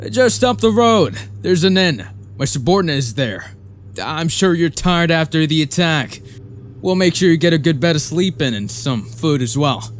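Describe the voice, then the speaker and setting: Higher-voiced, courteous exorcist played by a man. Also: lively intonation, moderate pace, faint music behind, close to an everyday voice. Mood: calm authority. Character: calm, courteous male exorcist leading a convoy. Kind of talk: audiobook drama acting.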